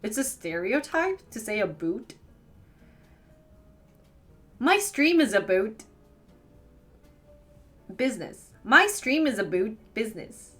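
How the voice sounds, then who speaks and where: Woman, Wisconsin accent, live streamer, casual chat, quick questions back, slightly high voice. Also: sing-song, fairly bright tone, faint music behind. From United States. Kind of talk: casual chat answering viewers.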